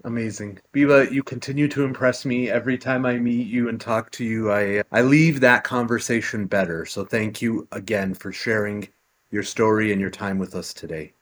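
A man's voice: lowish voice, steady tone, normal speed. A man co-hosting a podcast, asking questions in a conversational, admiring way. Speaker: podcast co-host, doctor. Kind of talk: podcast interview.

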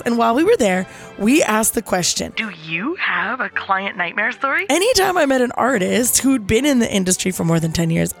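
A middle-aged woman with a raspy voice hosting a podcast, telling stories in a playful, dramatic, salty-tongued way. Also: a little high. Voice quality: raspy from overuse. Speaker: podcast host. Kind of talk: animated storytelling to listeners.

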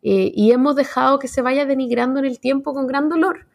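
A Chilean woman in her forties with a Chilean accent, chatting casually as a panelist. Her voice sits a little high and has a gravelly fry. She talks in an animated, slangy way, full of fillers and restarts. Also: fairly quick. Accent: Chilean Spanish. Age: 40s. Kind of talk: casual radio panel chat.

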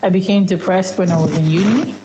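Low-voiced woman telling her story. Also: dark tone, echoey room, muffled recording, a little hiss or hum. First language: Yoruba. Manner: reflective, unhurried, trailing off and restarting. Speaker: interview guest. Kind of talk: candid personal story in an interview.

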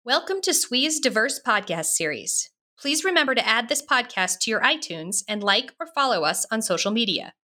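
A woman's voice: a little high, sing-song, moderate pace, few pauses, sharp tone. A woman hosting an interview podcast, reading a guest's introduction and asking upbeat questions. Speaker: podcast host.

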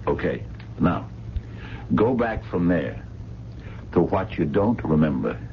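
A deep-voiced, sardonic diner man, impatient and slangy, his delivery swinging widely in pitch. The old radio drama recording is dull with a little noise. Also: big pitch swings, slow pace, exaggerated voice. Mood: probing. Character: gruff, cynical working-class diner man, grumbling and sardonic. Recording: dull, noisy vintage radio broadcast.

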